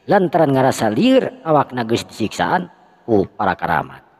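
A man narrating a folk tale with a Sundanese accent, lively and dramatic. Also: mid-range voice, moderate pace, in a large hall. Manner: lively, with shouted sound effects. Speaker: storyteller.

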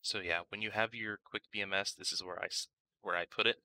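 A man narrating a casual step-by-step software tutorial, informal and thinking aloud as he works. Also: on the low side, fairly even intonation, fast, low-quality recording. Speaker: tutorial host.

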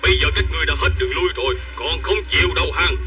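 An actor playing a calculating, commanding middle-aged strategist of ancient China, speaking with measured authority in an animated dub. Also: male voice, fairly high pitch, distant mic, over music, lightly acted. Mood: confident. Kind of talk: Vietnamese-dubbed historical animation acting.

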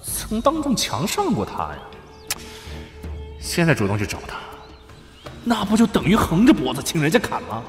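A man's voice: on the high side, wildly swooping pitch, moderate pace, long pauses. An actor playing a scornful, cautious man of a royal faction in an audio drama, sneering and theatrical. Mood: wary. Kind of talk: Chinese historical audio drama acting. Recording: echoey reverb, music under the voice.

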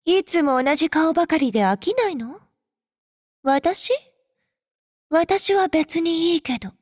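Casting: haughty game femme fatale, young warrior, high-pitched, unhurried, teasing and possessive. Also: female voice, no room sound, clean studio sound. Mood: cool, bored. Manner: languid, teasing, coolly condescending. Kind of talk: Japanese game character voice lines.

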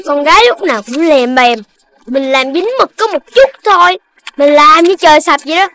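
A little Dalmatian boy from a children's cartoon, cocky and dismissive. The voice is very high and has a southern Vietnamese accent, with curt, scornful phrasing. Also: normal speed, a bit bright, a little hiss or hum. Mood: defiant.

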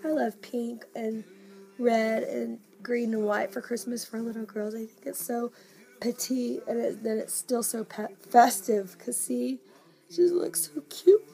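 A woman with a hoarse, coughing voice, chatting casually to camera in a nursery at home and cooing softly over a baby doll. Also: fairly high pitch, steady tone, measured pace, poor audio. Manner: gushing, coos softly to the doll. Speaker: reborn doll collector vlogger. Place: home nursery room, indoors. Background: Christmas music playing.

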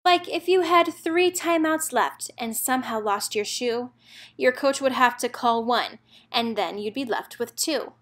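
A woman's voice: fairly high pitch, measured pace, a bit bright. A woman narrator reading a children's picture book aloud, expressively and in a rhythmic lilt of rhyming verse.

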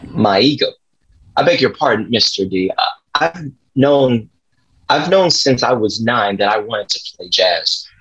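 A man acts a defiant young jazz pianist in a table read. His voice is husky and firm, coming through slightly dull video-call audio. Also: medium pitch, lively intonation, measured pace, a bit bright. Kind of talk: script table read acting. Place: small room at home, on video call.

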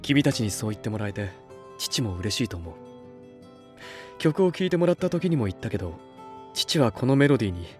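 A male actor playing an earnest, soft-spoken young record label head in an anime voice drama, speaking in a measured way. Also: medium pitch, sing-song, soft background music, close to an everyday voice. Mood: earnest, reflective.

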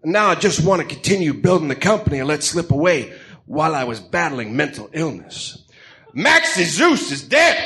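A man's voice: fairly high pitch, fairly bright tone, exaggerated voice. A man voicing a grandiose, theatrical Greek ex-villain tycoon in a comedic radio drama. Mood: breezy, self-assured. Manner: pompous, theatrical. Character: flamboyant Greek ex-villain tycoon, grandiose, smooth self-justifying showman.

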